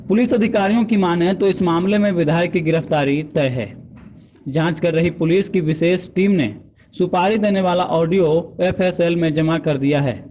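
A man's voice: on the high side, darkish tone. A man reading scripted news narration as a voice-over, on a dull and slightly noisy recording. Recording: dull lo-fi voice-over, faint noise.

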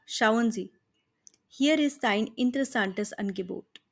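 An encouraging, practical female friend, read by a woman with an Indian accent talks in a fairly high voice. Her lines come slowly and clearly, as in a lesson dialogue. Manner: slow, carefully pronounced. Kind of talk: scripted dialogue read for learners.

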